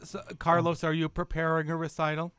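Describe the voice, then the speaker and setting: A man hosting a casual podcast interview, speaking politely and in a halting way. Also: a little high, monotone, a bit bright, clear, resonant tone, dry recording. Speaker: podcast host.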